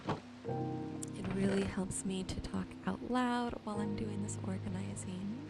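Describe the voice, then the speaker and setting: A woman gently narrating her gameplay as a sleep ASMR host, soothing and unhurried. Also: medium pitch, steady tone. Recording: music behind the voice. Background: soft music, game rain and animal sounds.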